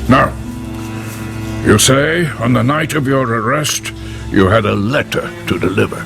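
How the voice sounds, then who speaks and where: An actor playing a stern, impatient old priest mentoring a prisoner in a radio drama, gravelly and insistent. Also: male voice, medium pitch, animated, unhurried, over music. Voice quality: gravelly, creaky. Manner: insistent, commanding.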